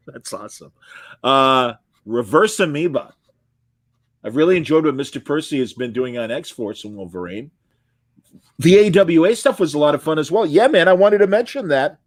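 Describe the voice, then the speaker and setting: A man's voice: fairly high pitch, lively intonation, normal speed, pauses often, no echo. A man hosting an interview podcast, chatty and enthusiastic, often restarting his sentences. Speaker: podcast host. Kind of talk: casual interview conversation. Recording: dry close sound.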